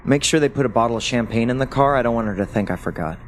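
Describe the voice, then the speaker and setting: A man's voice: mid-range voice, rapid-fire, a little hiss or hum, close to an everyday voice. A man acting a loving, wistful and frightened husband in an audio play, speaking in a sentimental, hesitant way. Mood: wistful, resigned. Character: recently deceased loving husband, tender, wistful, scared.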